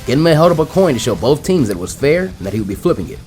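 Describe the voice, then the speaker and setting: A man's voice: on the high side, sing-song, very fast, a little mellow. Male story narrator over background music. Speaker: fan fiction reader, narrator. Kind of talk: dramatic audiobook-style story reading.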